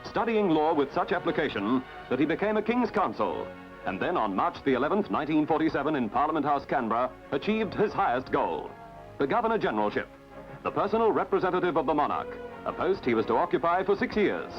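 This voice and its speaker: A man's voice: a little high, very animated, fast talker, dull audio. A man reading scripted newsreel narration in a formal, declamatory way over music. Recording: band-limited old newsreel soundtrack.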